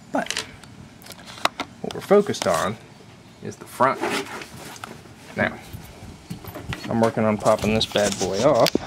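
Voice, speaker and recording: A man's voice: on the low side, background noise. A young man with a nasal voice, chatting casually as he shows a hands-on project outdoors on a porch, filmed on a handheld camera. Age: young adult. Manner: casual and chatty, explaining hands-on while handling gear. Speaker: DIY video maker. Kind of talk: casual how-to vlog. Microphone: handheld camera mic.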